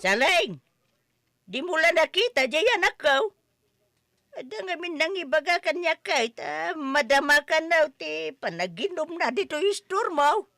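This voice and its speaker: A woman playing an excitable, scolding village woman in a comedy drama, with an Ilocano accent and expressive, exclamatory delivery. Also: high voice, normal speed, dry recording, clean studio sound, heavily acted. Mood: agitated, scolding.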